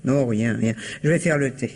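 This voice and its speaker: An actress playing a blunt, wary housekeeper in a radio mystery, plain-spoken and offhand. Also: female voice, rumbling low, normal speed, darkish tone. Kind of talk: old French radio mystery acting.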